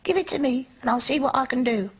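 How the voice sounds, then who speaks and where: A man voicing a tiny, polite female fairy in a small squeaky voice for a children's story. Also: fast, breathy edge. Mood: grateful, kindly. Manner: chiming, earnest.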